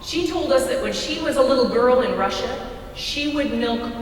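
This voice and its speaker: A woman telling a rehearsed family story on stage, measured and expressive, with deliberate pauses. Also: high-pitched. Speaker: readers theater storyteller. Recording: distant echoey hall with faint noise.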